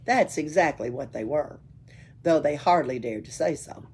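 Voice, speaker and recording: A woman's voice: deep voice, expressive, normal speed, vocal fry, darkish tone. A woman reading a children's story aloud as a library storyteller. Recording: faint background noise, room ambience.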